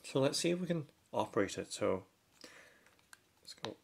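A man giving a casual hands-on product demonstration, talking step by step in short, halting phrases. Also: medium pitch, very animated, creaky. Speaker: product reviewer.

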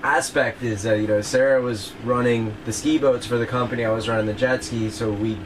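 A young man speaks in an easygoing, laid-back manner. He sounds distant from the camera in a small boat cabin, with clear background noise. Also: medium pitch, fairly even intonation, fairly quick. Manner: easygoing, chill. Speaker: boat owner and former jet ski guide. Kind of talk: casual interview answers. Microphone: camera across the table.

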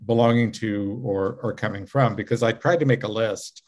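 An older man hosting an interview over a video call, speaking in a measured, reflective way as he frames his questions. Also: on the low side. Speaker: interview host. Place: living room at home. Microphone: video call webcam.

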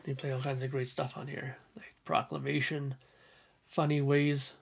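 A man with a hoarse voice casually talking through his record collection in a small home room, clearing his throat often. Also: medium pitch, flat delivery, normal speed. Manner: enthusiastic, frequent throat clearing. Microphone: headphones on, webcam or desk mic.

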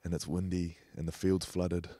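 A man's voice: low-pitched, flat delivery, normal speed, warm tone, dry recording. A man in his thirties, a podcast guest chatting bluntly and jokingly into a handheld mic in a studio. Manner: blunt, joking, laid-back. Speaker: podcast guest, rugby league player. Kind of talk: casual chat. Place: studio set on a sofa.

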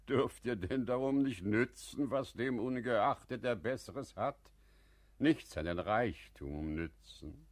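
An actor playing a wise, humble older merchant and father in a classic radio play, measured and courteous in manner. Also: mid-range voice, expressive, no room sound. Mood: thoughtful, courteous.

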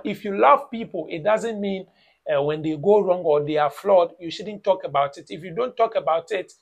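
A man's voice: high voice, gravelly, slightly rough. Emphatic Ghanaian man lecturing on camera. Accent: Ghanaian English. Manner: lecturing, emphatic, repeats phrases, mocks with "blah blah". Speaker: YouTube reaction vlogger. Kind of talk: opinion vlog commentary. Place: small room at home, indoors.

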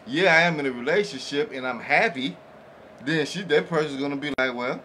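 A man with a slightly high voice chats on a group podcast. His delivery is halting and circles around the point, with some background noise in the small studio. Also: bright, forceful tone. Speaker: podcast co-host. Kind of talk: casual group discussion. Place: small makeshift home studio, indoors. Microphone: podcast mics on boom arms.

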